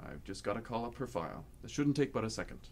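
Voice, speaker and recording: Low-voiced, easygoing God, played by a man. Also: very animated, quick pace, gravelly, darkish tone, exaggerated voice. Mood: mild, chatty. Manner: casual, hesitant, conversational. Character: God as an easygoing, mildly fussy, apologetic businessman. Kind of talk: comedic radio play acting.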